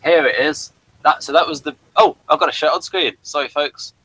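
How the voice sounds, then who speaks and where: A man's voice: a little high, a little fast, pushed and bright, slightly rough, rough recording. A man hosting a casual review chat, rambling and thinking aloud as he goes. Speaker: podcast review host.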